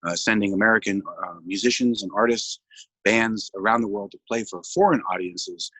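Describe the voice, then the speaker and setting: A middle-aged man working as a diplomat introduces himself in a measured way, with short fillers. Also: on the low side, a bit bright, no room sound, low-quality recording. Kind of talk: personal introduction and casual chat.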